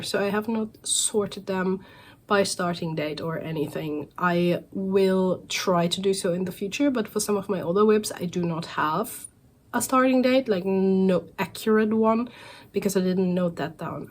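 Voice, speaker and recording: Woman in her forties with a cold. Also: medium pitch. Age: 40s. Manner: relaxed and rambling, with frequent fillers and self-corrections. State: recovering from a cold, voice sounds off. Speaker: cross-stitch vlogger. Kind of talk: casual chatty vlog monologue.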